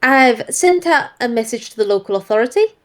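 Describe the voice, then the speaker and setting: A woman acts out a golden monkey detective in a story role-play, female and high-voiced. She is an eager, playful mentor with a folksy turn of phrase and mild made-up oaths. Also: expressive, a little fast, close to an everyday voice. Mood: gleeful, confident.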